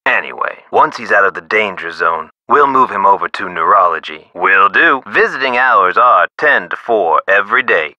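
Game casting: chatty eccentric male nurse, low forceful voice, whimsical swooping emphasis. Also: no room sound, lo-fi sound, full character voice. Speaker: nurse character in an adventure game. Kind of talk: video game character dialogue, explanatory.

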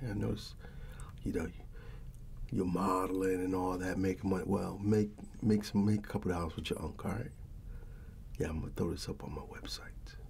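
A middle-aged American man speaking softly and often in a whisper, in a casual, avuncular ASMR roleplay as a barber. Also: deep voice, vocal fry, slight background noise. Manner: unhurried, casual, avuncular reassurance. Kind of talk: soft-spoken ASMR personal attention roleplay.

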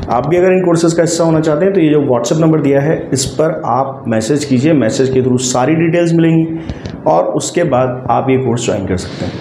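Male legal explainer, forties, Indian accent, soft dark tone, instructive delivery, roomy echoing sound. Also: medium pitch. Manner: didactic, uses English terms often. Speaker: legal advisor and video host. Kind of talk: explanatory legal talk to camera. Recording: roomy echo, faint background noise.

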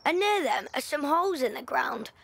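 A woman's voice: sits high, animated, normal speed, vocal fry, no room sound. A woman playing an earnest, know-it-all wartime schoolboy in an audio drama. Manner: earnest, explaining.

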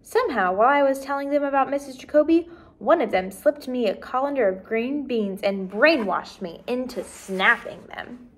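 Young woman reading aloud, fairly high voice. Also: animated, normal speed, hardly any pauses. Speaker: teacher reading aloud to first graders. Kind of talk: expressive children's read-aloud narration. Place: small decorated indoor room.

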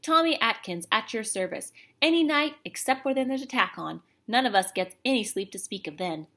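Woman reading as a male soldier, cheery, loud, slangy, storybook reading. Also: fairly high pitch, animated, normal speed. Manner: colloquial, hearty, slangy. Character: cheery male soldier orderly, plucky and wry.

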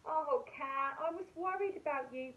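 A woman's voice: sits high, a little slow, highs cut off, voice pushed up. A woman voicing a big female dog in a storybook, bossy but caring, with an emphatic, exclaiming delivery.

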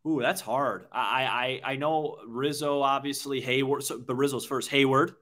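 A young man co-hosting a sports podcast in casual chat, halting and repeating words as he thinks. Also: mid-range voice, steady tone, fairly bright tone, no room sound, clean studio sound. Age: young adult. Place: small indoor room on a video call. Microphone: close podcast microphone with headphones.

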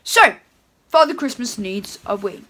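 A young woman playing a jolly, chatty Father Christmas reading a storybook aloud at home, her voice pushed lower in a playful put-on. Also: expressive, a little slow, fairly bright tone, a little hiss or hum. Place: home room with curtains.

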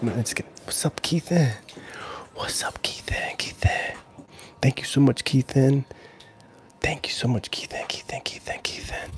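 A middle-aged man casually chatting with viewers on an ASMR live stream, drifting between soft murmured talk and whispers close to the mic. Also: medium pitch, measured pace. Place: small indoor gaming room. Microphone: handheld-style recorder mic close to the mouth.

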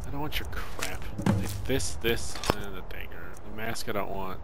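A man's voice: on the low side, normal speed. A man giving casual, wry gameplay commentary as he plays, muttering asides to himself. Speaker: let's play gamer. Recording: loud game noise behind voice. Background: video game ambience and effects.

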